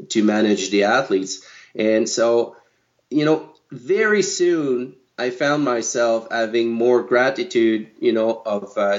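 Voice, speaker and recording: A man answering interview questions as a guest coach, speaking haltingly with many fillers and restarts. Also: on the low side, muffled recording.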